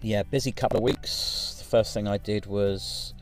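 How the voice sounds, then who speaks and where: A man's voice: fairly low pitch, fairly even intonation, normal speed, soft background music. A man in his forties giving a casual, rambling voice-over for his driving footage, with many ums and restarts. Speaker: vlogger.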